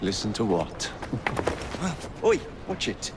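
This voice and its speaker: Brash young Englishman, wildly animated. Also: on the low side, slow pace, noisy background, exaggerated voice. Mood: casual, cocky, then angry. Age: young adult. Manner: brash, cheeky. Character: brash, impatient young male assassin, cocky twin brother. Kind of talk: video game cutscene acting.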